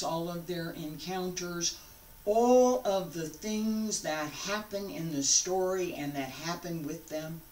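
A woman in her sixties giving an informal invitation to camera, speaking deliberately in short phrases with pauses, recorded at home on a distant microphone. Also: fairly low pitch. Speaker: founder of a radio drama show. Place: carpeted room at home, indoors. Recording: echoey room, distant microphone.

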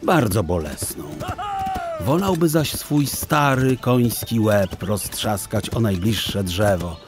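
A man narrating a children's audiobook in an expressive, theatrical storytelling style with deliberate phrasing. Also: lowish voice.

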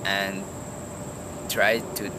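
A man's voice: low voice, level tone, drawn-out words. A young man with a Venezuelan accent giving advice to camera. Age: young adult. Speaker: international college student. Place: outdoors in a campus garden. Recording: noisy outdoor campus, insects and traffic.

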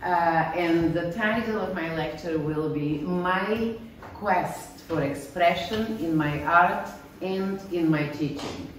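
A middle-aged woman with a Bulgarian accent, lecturing on her painting in a low voice and an unhurried delivery. She speaks plainly, now and then stopping to find the right word. The sound comes from far away in an echoey gallery room with steady background noise. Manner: plain, earnest, self-deprecating, searching for words. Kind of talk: informal art lecture. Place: small bright gallery room, indoors. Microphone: far camera, standing mic at a lectern.

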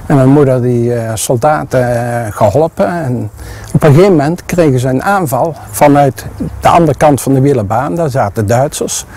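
An older man outdoors recounting childhood wartime memories in an interview, slow and reflective. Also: medium pitch, dark tone. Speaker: eyewitness interviewee. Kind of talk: recollection in interview. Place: outdoors by a farmhouse.

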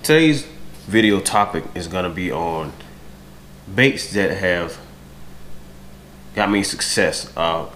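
Deep, stuffy-nosed American man. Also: expressive, takes it slowly, long pauses, fairly bright tone, slight background noise. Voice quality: stuffy nasal voice. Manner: frequent throat clearing. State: congested, stuffy from a cold. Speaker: fishing vlogger. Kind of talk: casual talk to camera. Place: home dining room with large windows.

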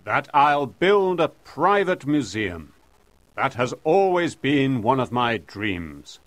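A domineering storybook professor, voiced by a male narrator. He speaks slowly and pompously, with big swings of pitch and a commanding air. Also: sing-song, fairly bright tone, no echo. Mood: proud. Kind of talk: audiobook character voice.

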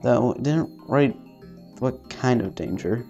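A man playing a visual novel, reading its text aloud haltingly with casual, sweary commentary. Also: mid-range voice, animated, gravelly, mellow, highs cut off. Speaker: let's-player. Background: faint game music.

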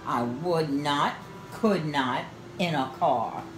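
An older woman reading a children's storybook aloud in a deliberate, sing-song way, drawing out her phrases. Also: sits low, music in the background. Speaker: senior living resident reading a children's storybook. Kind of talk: read-aloud storytime narration. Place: small room indoors, in an armchair by a window. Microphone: camera a short distance away. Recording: roomy home video sound.

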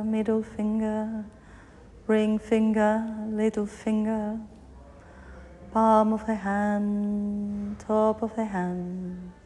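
A woman teaching yoga nidra, guiding a meditation in short phrases with pauses between them. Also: mid-range voice, darkish tone. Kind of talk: guided meditation, body scan narration. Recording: long hall reverb, faint background noise.

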